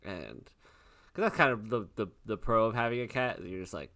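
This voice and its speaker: Low-voiced, animated man chatting casually. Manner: rambling, halting, self-interrupting. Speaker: podcast host and player. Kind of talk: casual chat.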